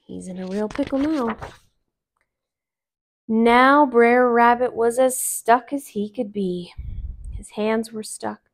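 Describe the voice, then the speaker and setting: A woman in her thirties narrating a children's story aloud in an expressive, deliberate way. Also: fairly high pitch. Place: small living room, on a couch.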